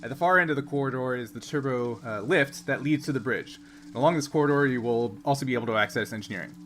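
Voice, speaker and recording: A man's voice: medium pitch, fast talker, fairly bright tone. A man narrating casually as game master of a tabletop roleplaying game, in a conversational way. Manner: breaks into laughter often, conversational. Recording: clear background noise and ambience.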